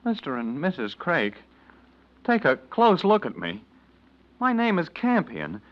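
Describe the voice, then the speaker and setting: An actor playing a witty, penniless lodger with florid, mocking speech in a 1940s radio drama. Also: male voice, a little high, wildly swooping pitch, a little slow. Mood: puzzled, suspicious. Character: witty, penniless male lodger, grandiloquent and sardonic. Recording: dull vintage radio recording.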